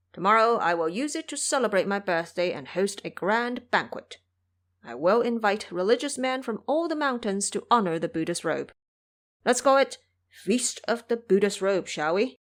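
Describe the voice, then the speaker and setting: Brief: a woman voicing a gloating male monster lord, lower and slower, audio drama. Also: lively intonation, close to an everyday voice. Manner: boastful, gloating. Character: boastful dark male monster king.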